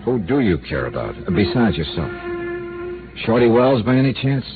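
An actor playing a dry, skeptical insurance investigator who narrates a 1950s radio drama. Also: male voice, on the low side, very animated, moderate pace, over music. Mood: wry. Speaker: narrator and lead. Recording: tinny old radio transcription.

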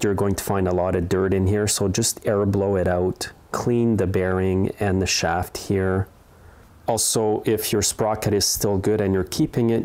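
Low-pitched middle-aged man giving repair instructions. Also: a little mellow. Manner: plain-spoken, step-by-step instruction. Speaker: small engine repair host. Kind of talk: hands-on tutorial narration. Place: indoor repair workshop.

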